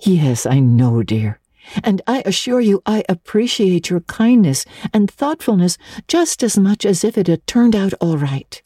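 A woman's voice: medium pitch, very animated, a little slow, breathy edge, dry recording, studio quality, voice pushed up. Woman playing a merry, kindly minister's wife. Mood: gently comforting. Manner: gentle, reassuring.

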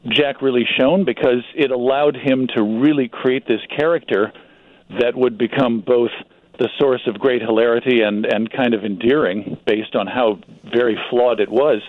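Over a narrow, phone-like line with no room sound, an American man of medium pitch talks as a radio guest. He offers conversational expert commentary, thoughtfully, doubling back on words. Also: dry recording. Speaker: radio guest, magazine publisher. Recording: dull band-limited radio line.

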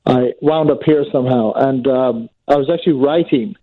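A man's voice: medium pitch, moderate pace, dry recording. Male comedian calling in, thin phone-line sound, rambling style. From Northern Ireland and England. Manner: self-deprecating, rambling with fillers. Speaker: comedian guest. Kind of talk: casual phone interview.

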